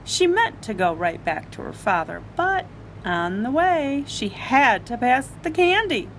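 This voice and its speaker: A woman storyteller reading a children's story aloud in an animated, expressive way. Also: on the high side, measured pace, a little hiss or hum, rough recording.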